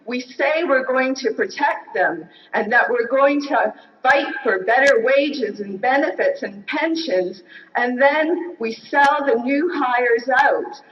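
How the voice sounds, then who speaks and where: A woman in her fifties speaks at a floor mic with a fairly high voice, earnest and building to forceful. The sound is distant and dull, with echo from a big hall. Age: 50s. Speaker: union delegate running for president. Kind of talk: impassioned convention floor speech. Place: large convention hall. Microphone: floor microphone.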